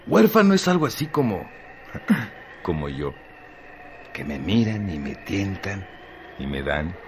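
An actor playing a vain orphaned man recalling his boyhood in a halting, deliberate radio drama monologue. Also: low-pitched, noisy background.

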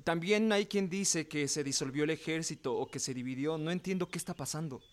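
Earnest young Mexican man, higher voice actor's role. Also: a little fast, lightly acted. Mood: troubled. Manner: earnest, insistent. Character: earnest, stubborn young man of a Creole family. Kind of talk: Spanish-language radio drama acting.

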